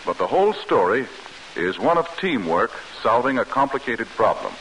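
An American man reading a scripted 1940s radio sponsor message in a formal, measured storytelling way, on a vintage radio recording. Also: medium pitch, a bit bright, a little hiss or hum, rough recording. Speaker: commercial announcer.

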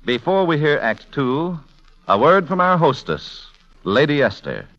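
A man's voice: a little high, big pitch swings, unhurried. A man announcing and narrating a 1940s radio drama in a formal, theatrical manner. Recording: dull vintage radio, loud music behind. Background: music.

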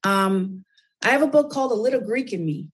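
A woman's voice: mid-range voice, lively intonation, fairly quick. Earnest woman author explaining her work. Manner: earnest, explanatory, light fillers. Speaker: children's book author, guest. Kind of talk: casual interview.

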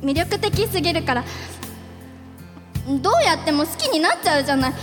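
Casting: young female office worker, lovestruck and anxious, high melodramatic voice, big hall reverb, music underneath. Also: very animated, a little fast. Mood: desperate, lovestruck. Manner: melodramatic, earnest. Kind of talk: live radio drama acting. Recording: live event, long hall reverb.

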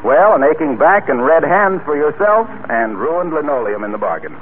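A man announcing in 1940s radio style, delivering introductions and sponsor pitches with polished, folksy salesmanship. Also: very animated, normal speed. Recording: narrow-band, noisy vintage radio recording.

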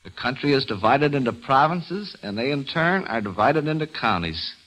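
A man's voice: medium pitch, very animated, moderate pace, a bit bright, low-quality recording. A man on a classic radio broadcast, acting as guest quizmaster and reading scripted geography clues in a formal, descriptive way. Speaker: guest quizmaster, travel guide.

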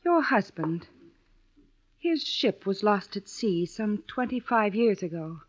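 Radio drama companion: young, deferential, halting, measured pace, dull period recording. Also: female voice, medium pitch, expressive. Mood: uneasy, guarded. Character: uneasy, polite young female companion, guarded. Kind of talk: old-time radio drama acting.

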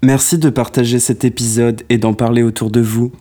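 A man's voice: medium pitch, level tone, a little fast, mellow. A man presenting a podcast, reading a scripted outro and credits.

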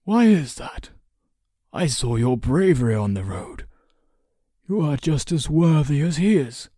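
A man playing a careful, persuasive guest in an audio story, measured but emphatic and fierce when challenged. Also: on the high side, very animated, dark tone, dry recording, studio quality. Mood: gently probing.

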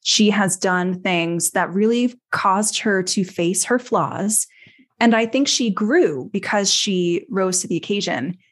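A woman co-hosting a podcast, talking casually about a TV series in an enthusiastic, expressive way. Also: mid-range voice, takes it slowly. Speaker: podcast co-host.

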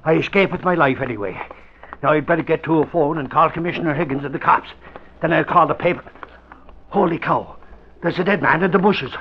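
An excitable, blustery newspaperman played by a male actor in an old radio adventure. He mutters in astonished exclamations in a highish voice. The recording is dull with faint noise. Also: fairly quick, close to an everyday voice. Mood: astonished, excited. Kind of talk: 1940s radio acting.

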